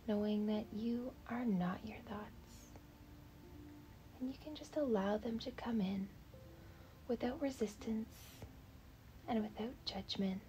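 A woman leading a guided meditation in a soft, breathy, half-whispered voice, gentle, soothing and unhurried. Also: medium pitch, a little hiss or hum, low-quality recording.

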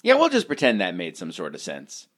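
A man's voice: low voice, huge pitch swings, brisk, fairly bright tone, exaggerated voice. A man playing a cocky, reactionary small-town husband in a comic radio play. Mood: smug.

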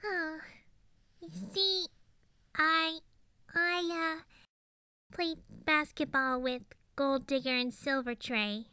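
Young girl cartoon pony, high-pitched, dry close sound, eager, rambling, zany. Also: fairly even intonation, slow, pauses often, sharp tone, no room sound, full character voice. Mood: guilty, hesitant. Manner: chatty, rambling, melodramatic emphasis. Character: zany ten-year-old girl pony, chaotic, boastful, eager. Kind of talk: improvised cartoon character monologue.

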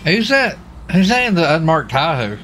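A man's voice: on the high side, big pitch swings, moderate pace, fairly bright tone, a little hiss or hum. A man bantering casually and teasingly in character during a police roleplay game. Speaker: roleplay gamer playing a lawman.

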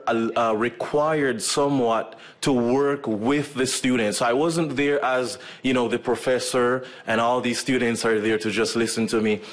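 A Jamaican man in his thirties, a medical missionary, giving a relaxed personal testimony to a church audience from a lectern in an auditorium, with many ums and uhs. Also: medium pitch. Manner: relaxed storytelling with frequent fillers. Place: auditorium stage with curtain backdrop. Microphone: stand mic at a lectern.

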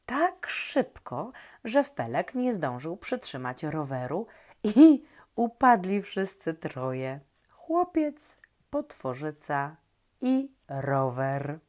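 A woman with a low voice tells stories to young listeners at a very leisurely pace. She is gentle and playful, often turning to the children with questions and letting expressive pauses hang. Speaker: children's story reader and host. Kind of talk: reading aloud to young children, talking to the listeners.

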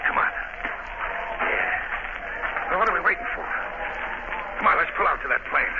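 A man's voice: fairly high pitch, lively intonation, moderate pace, a loud crowd, close to an everyday voice. An actor playing an impatient, jumpy gang henchman with slangy talk, in 1930s radio style. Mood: impatient, nervy. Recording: very noisy, muffled 1930s radio recording.

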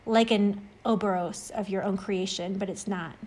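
Reassuring friend for short-story audio, played by a woman: encouraging, explanatory, mid-pitched. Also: fairly even intonation, normal speed, a little mellow, close to an everyday voice. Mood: earnest. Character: reassuring, talkative friend who overcame extreme anxiety. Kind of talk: acted short-story dialogue reading.

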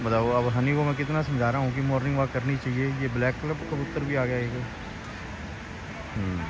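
A man chatting as he films a morning beach walk on his phone, pointing things out to the camera with excited exclamations. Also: medium pitch, brisk, heavy background noise. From Haryana, India. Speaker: travel vlogger. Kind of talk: casual walk-and-talk vlog narration. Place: outdoors on a beach. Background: loud background music.

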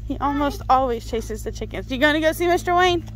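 High-pitched woman cooing to animals. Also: lively intonation, quick pace. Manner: cooing baby talk to animals. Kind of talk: casual chat with a child and animals. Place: dairy farm, among calves and cows. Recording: noisy farm ambience.

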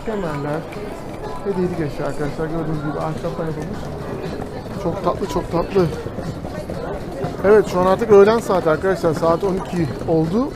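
A man's voice: on the high side, moderate pace, long pauses, a loud crowd. A man walking through a city and chatting to his viewers, calling them friends as he points things out. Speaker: travel vlogger. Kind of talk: casual travel vlog commentary. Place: outdoors in city streets. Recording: noisy outdoor street recording. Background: street noise and passing vehicles.

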